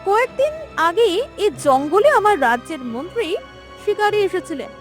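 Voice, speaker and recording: A woman plays a cruel, arrogant young cartoon princess with a very high, swooping voice. She speaks with imperious scorn, and music plays behind her. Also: sing-song, moderate pace. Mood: haughty. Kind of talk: animated moral story voice acting.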